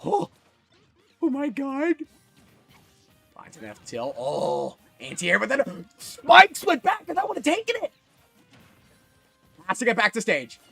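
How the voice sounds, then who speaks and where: A man giving solo live commentary on a fighting game tournament, excitable and jumping to high shouts at big moments, his voice a little worn, from a small closet. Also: a little slow, creaky, long pauses, slightly rough, faint music behind. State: losing his voice. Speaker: esports commentator.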